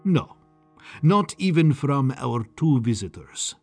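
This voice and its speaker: A man's voice: mid-range voice, big pitch swings, slow pace, soft background music. A man voicing a smug, gleeful and sinister scientist in an audiobook, with a sing-song, condescending, theatrical manner. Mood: smug, boastful. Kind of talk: audiobook character acting.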